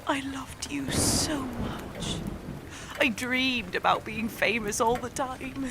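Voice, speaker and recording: A young woman playing a fragile, distraught, erratic young actress in a naturalistic audio drama, speaking in a halting, breathy, agitated way. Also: high voice, noisy background, full character voice. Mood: wistful, fragile. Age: young adult.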